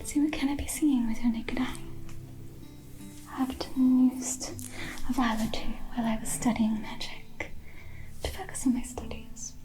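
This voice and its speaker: A woman acts out a whimsical female elf mage in a fantasy ASMR roleplay. The voice is a high, mellow whisper, gentle and softly theatrical. Quiet music plays behind it. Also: fairly even intonation, natural voice, poor audio. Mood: soothing, whimsical. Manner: slow, soothing, softly theatrical. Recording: close whispered voice over music.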